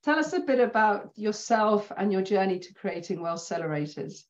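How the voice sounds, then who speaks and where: A middle-aged woman hosting an interview on a video call, chatty and quick to laugh. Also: medium pitch, lively intonation. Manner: warm, chatty, laughs easily. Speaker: host. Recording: slightly dull video-call audio.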